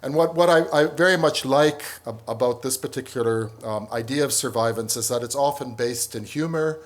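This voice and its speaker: A middle-aged man from northern Canada, a professor giving a conference talk, full of pauses and restarts. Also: medium pitch. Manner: hesitant, many ums and restarts. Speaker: professor and panel speaker.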